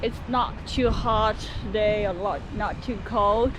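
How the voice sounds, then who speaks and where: A woman's voice: medium pitch, fairly even intonation, measured pace. A young woman from southern China with a Cantonese accent, chatting casually as a travel vlogger outdoors in a city and musing with fillers. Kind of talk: casual travel vlog narration. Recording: outdoor street noise with traffic.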